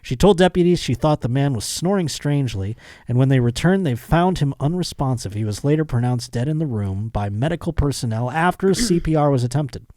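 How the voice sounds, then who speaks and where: A man hosts a casual comedy podcast at a mid-range pitch. He argues in a joking, mock-earnest way and reads items out conversationally. The sound is dry, with very little room. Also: expressive, brisk, warm tone, no echo. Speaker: podcast host. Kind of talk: casual comedic chat, reading out odd news.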